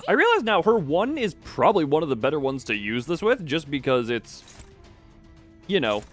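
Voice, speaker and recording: Rambling man streaming a game, higher-pitched voice. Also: sing-song, moderate pace, a bit bright. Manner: rambling, thinking aloud, trailing off mid-sentence. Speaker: game livestreamer. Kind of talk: casual livestream gameplay commentary. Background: game music.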